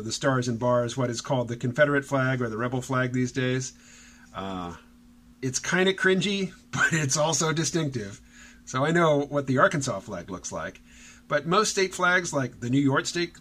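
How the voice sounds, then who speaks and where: A man's voice: mid-range voice, a bit bright. A man talking casually in a solo vlog, rambling and chuckling as he reads out viewer comments. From Southern United States. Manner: rambling, chuckling, many fillers and restarts. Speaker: vlogger.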